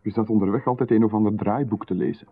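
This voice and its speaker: A man with a Flemish accent, playing a calm, insistent stranger in a radio drama who seems to know far too much. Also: fairly low pitch, fast, husky. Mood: insinuating. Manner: calm, insistent, coolly overfamiliar. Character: calm, knowing male stranger who watches closely. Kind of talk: 1990s radio drama acting. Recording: narrow, phone-like radio broadcast.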